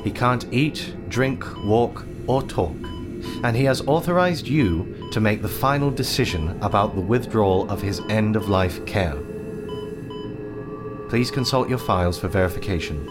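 A man voicing a calm, businesslike male doctor, clinical and matter-of-fact, with soft music behind. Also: fairly low pitch, measured pace, voice pushed up. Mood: detached.

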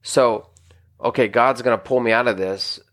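A man's voice: mid-range voice, normal speed, a bit bright. A married man, a pastor, teaching scripture on a podcast in an earnest, conversational way with many restarts. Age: adult, married. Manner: earnest, conversational, frequent restarts and "you know".

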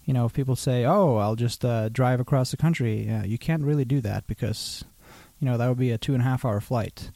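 A man's voice: mid-range voice, a little fast, creaky, hardly any pauses, no room sound. A man in his thirties from Norway, a native Norwegian speaker, talking casually as a podcast guest with many fillers. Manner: relaxed, hesitant, many fillers. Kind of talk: casual interview talk.

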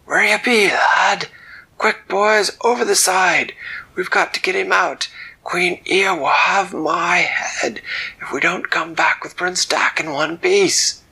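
A man voicing a gruff old male sea captain who talks in hearty sailor's dialect, full of "aye" and "lad". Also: lowish voice, big pitch swings, a little slow, sharp tone, whispered, full character voice, poor audio. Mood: alarmed, shouting.

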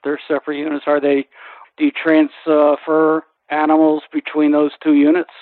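A man's voice: a little high, monotone, normal speed, no room sound. Man, interview host, dry close sound, narrow phone-like band, conversational. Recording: thin, phone-like radio sound.

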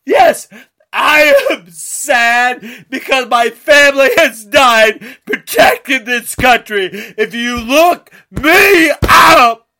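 A man from the United States, crying and shouting through an angry rant. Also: very high-pitched, animated, takes it slowly. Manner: shouting, tearful, ranting. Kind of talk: angry political rant to camera.

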